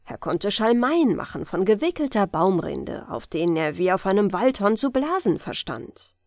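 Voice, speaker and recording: A woman with a German accent reading a fairy tale aloud in a calm, gentle bedtime style, in a lilting storyteller manner. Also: mid-range voice, normal speed, no room sound, clean studio sound. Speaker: audiobook reader.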